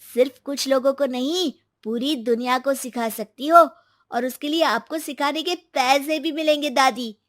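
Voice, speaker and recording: Woman voicing a high-pitched, earnest young boy. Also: normal speed, close to an everyday voice. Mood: eager, reassuring. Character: young grandson, earnest, stubborn, devoted to his grandmother. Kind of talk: scripted animated moral story dialogue.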